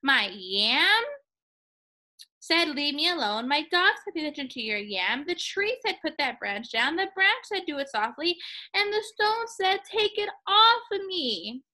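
A panicky storybook farmer, acted out by a woman, gasps out his complaint with urgency. The voice is high and piercing, swinging widely up and down as he repeats himself. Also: big pitch swings, a little slow, pushed and bright, exaggerated voice. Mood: breathless, frantic. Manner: breathless, repetitive, urgent. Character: frightened male farmer, breathless and panicky, in a folktale.

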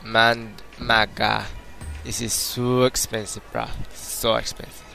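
Bright-voiced young British man, playful let's-play commentator slipping in and out of a mock Jamaican accent. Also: on the low side, little pitch movement, slow pace, gravelly, soft background music. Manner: joking, rambling, puts on a mock Jamaican accent. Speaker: gameplay commentator.

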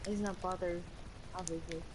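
A woman's voice: on the low side, steady tone, moderate pace. A woman with a southern Irish Dublin accent, chatting casually as a guest player over online game voice chat. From Ireland. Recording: noisy game voice-chat line.

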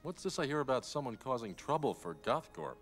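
An actor playing a suave, quietly probing rich playboy in an animated series, polite and understated. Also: male voice, mid-range voice, fairly quick, faint music behind, close to an everyday voice. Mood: casually probing. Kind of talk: animated series drama acting.

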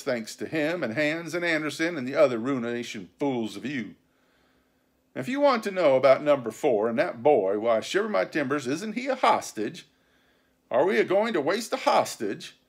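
A man reading a storybook, voicing a sly, scornful pirate captain who speechifies in rough nautical slang with sarcasm and bluster. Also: medium pitch, voice pushed up. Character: sly, scornful, commanding male pirate captain and sea cook.

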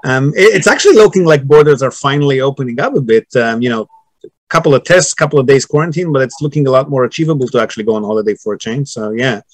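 A man in his fifties hosting a casual interview on a video call, friendly and quick with short affirmations. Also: mid-range voice, no room sound. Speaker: interviewer, real estate host. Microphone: laptop webcam on video call.

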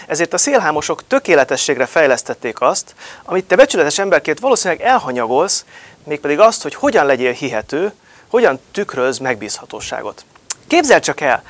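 Man, thirties, lively high-ish voice, emphatic promo talk, dry lapel sound. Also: no room sound. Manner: emphatic, rhetorical questions, gesturing hands. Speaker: marketing speaker. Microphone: lapel mic.

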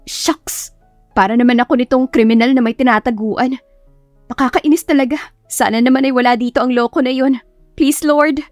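A woman's voice: high-pitched, steady tone, moderate pace, darkish tone, no room sound, faint music behind, pitched above the performer's own voice, close to an everyday voice. A woman voicing a flustered, embarrassed young female teacher who scolds herself dramatically in a romance audiobook. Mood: anxious, exasperated. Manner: exclamatory, self-scolding, exasperated.

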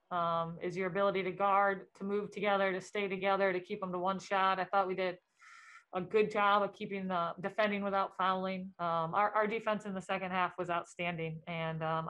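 A woman's voice: on the low side, fairly bright tone, dull audio. A woman head coach answering questions at a post-game press conference, speaking thoughtfully with frequent ums and restarts.

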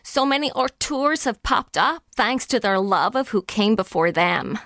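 A woman's voice: a little high, animated, unhurried, fairly bright tone, no echo, clean studio sound. A woman playing a confident, outspoken female film director who guides others in a radio drama, assured and blunt. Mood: gentle, encouraging.

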